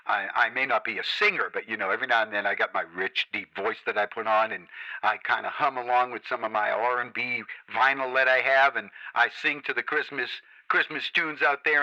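A man hosting a radio show, talking up a guest's stores in smooth, salesy DJ patter. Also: mid-range voice, brisk, pushed and bright, highs cut off. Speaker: radio host. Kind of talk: hyped radio show chat.

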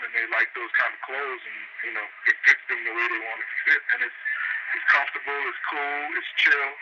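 A man being interviewed, with a laid-back, halting delivery full of fillers and restarts. His voice comes through a muffled, lo-fi phone line. Clear background noise sits under his voice. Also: medium pitch. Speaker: guest store and nightclub owner. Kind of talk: casual radio interview.

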